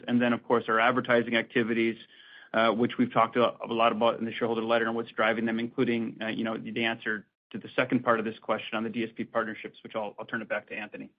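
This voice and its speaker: Flat-toned male executive, muffled call audio. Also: mid-range voice. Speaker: company finance executive. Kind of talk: earnings call answer. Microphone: conference call line.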